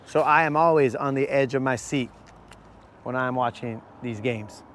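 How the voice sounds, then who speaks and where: A man hosting a live fishing stream from a boat, talking casually with viewers in a hoarse voice. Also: medium pitch, normal speed, a bit bright, a little hiss or hum. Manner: casual, slangy, calls viewers bro. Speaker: fishing channel host. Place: outdoors on a boat on a lake.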